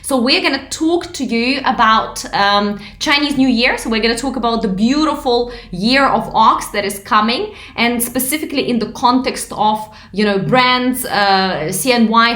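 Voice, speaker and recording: A woman's voice: mid-range voice, fairly bright tone, reverberant. A woman hosting a live audio panel, who guides the talk and welcomes each speaker. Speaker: host.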